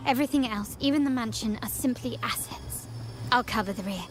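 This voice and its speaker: A woman's voice: fairly high pitch, fairly quick, over music, natural voice. A woman playing a confident, coolly menacing young mafia heiress in a video game, speaking with composed, theatrical command. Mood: calm, decisive.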